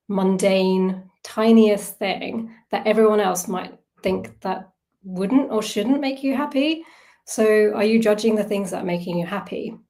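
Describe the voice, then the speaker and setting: A woman's voice: medium pitch, darkish tone. A woman facilitating an informal online wellbeing workshop, talking conversationally, thinking aloud and putting questions to her listeners. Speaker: workshop facilitator on self-soothing.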